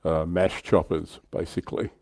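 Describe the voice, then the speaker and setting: A man's voice: low voice, little pitch movement, moderate pace, darkish tone, no room sound, clean studio sound. A man with an Australian accent hosting a livestream in a casual hobby discussion. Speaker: livestream host.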